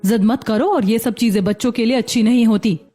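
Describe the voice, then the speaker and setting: A woman's voice: fairly high pitch, brisk, dark tone, soft background music, close to an everyday voice. A woman playing a firm, practical, admonishing mother in a cartoon story. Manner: admonishing, instructive. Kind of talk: Hindi cartoon story dialogue.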